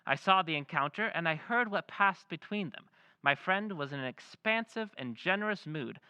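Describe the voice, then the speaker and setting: A confiding letter-writer. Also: male voice, on the high side, expressive, pushed and bright, clear, resonant tone, no echo. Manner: measured, confiding. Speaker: actor in radio play. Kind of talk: reading a letter in radio drama.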